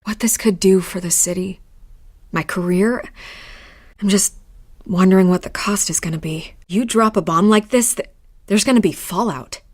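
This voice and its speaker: Actress as a skeptical woman reporter, medium pitch, wry, measured, natural, video game dialogue, dry sound. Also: dry recording, close to an everyday voice. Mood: pensive, skeptical. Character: savvy, skeptical adult female newspaper reporter.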